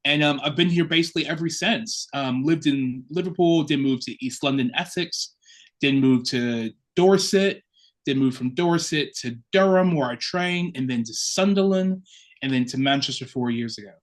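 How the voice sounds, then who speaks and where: Guy in his 30s, curate chatting openly about his life, relaxed and reflective. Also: medium pitch, normal speed. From Chicago, United States. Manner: open, reflective storytelling. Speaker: assistant curate, guest. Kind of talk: casual interview talk.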